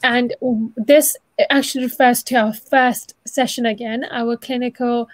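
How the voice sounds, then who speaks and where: A woman's voice: a little high, steady tone, a little slow, no room sound, poor audio. A young woman teaching informally on a video call, chatty and encouraging, often rephrasing as she explains. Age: young adult. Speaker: student presenter of an online dental anatomy session. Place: small room at home, indoors.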